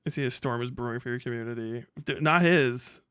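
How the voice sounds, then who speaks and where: A man's voice: mid-range voice, fairly quick, fairly bright tone, smooth, no echo, studio quality. A man hosting a livestream, ranting vehemently with heavy swearing as he reacts to and reads out messages. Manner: profane, agitated, vehement. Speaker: livestream host. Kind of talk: livestream reaction rant, reading messages aloud.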